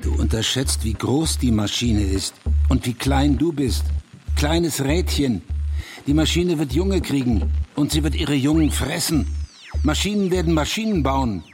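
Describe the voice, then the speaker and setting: A man's voice: medium pitch, unhurried, a little mellow, soft background music, lightly acted. An actor playing a scornful, taunting male sceptic in a radio drama, declaiming ominous prophecies. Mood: ominous, belittling.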